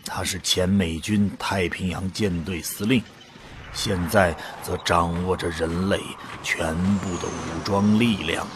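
A man's voice: low-pitched, steady tone, a little slow, noisy background. A man acting in an audio drama as an awed, unsure ordinary volunteer who narrates his own story. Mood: grave narration. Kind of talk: dramatic scripted narration and dialogue.

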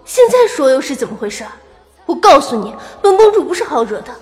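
A woman's voice: high voice, big pitch swings, brisk. A woman playing a proud, wilful young princess wounded in love in a Chinese historical audio drama. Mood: indignant. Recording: long reverb, faint music behind.

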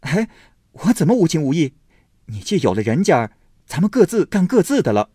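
A careless young nobleman chirps loudly and brushes things aside, as a man acts him out in a storybook reading. The husky voice swings in pitch and sounds close and dry. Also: very animated, no echo. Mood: offhand. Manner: loud, quick, offhand.

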